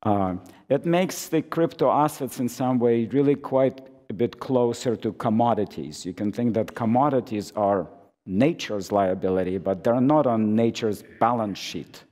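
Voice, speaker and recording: A hoarse man who is losing his voice gives an academic conference talk, halting with many fillers and restarts, amplified through a microphone. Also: mid-range voice, mellow. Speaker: conference speaker. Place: lecture hall. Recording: reverberant lecture hall.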